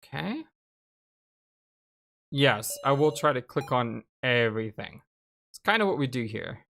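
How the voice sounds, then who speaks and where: Low-voiced, slow-talking expressive woman. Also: a little hiss or hum. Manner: expressive, chatty. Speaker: let's play host reading visual novel lines aloud. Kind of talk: casual game commentary mixed with acted reading.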